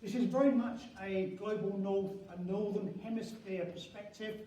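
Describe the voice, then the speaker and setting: An older man giving an academic conference lecture as a professor, halting and restarting phrases, with emphasis on key words. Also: sits high, steady tone, mellow. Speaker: university professor and head of geography school. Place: large echoing conference venue indoors. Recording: very echoey hall, distant mic, faint noise.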